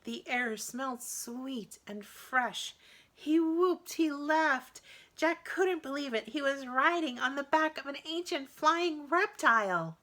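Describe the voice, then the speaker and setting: A woman reading a children's adventure story aloud in an expressive, dramatic narrating style. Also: high voice, takes it slowly. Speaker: storybook reader.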